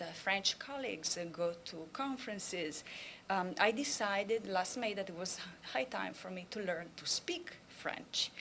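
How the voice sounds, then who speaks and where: A woman presenting at a conference, speaking at an even middle pitch. She talks in measured phrases broken by frequent "uh" and "um" pauses. A faint background hiss sits under the voice. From Italy. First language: Italian. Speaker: Italian language instructor. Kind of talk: conference talk.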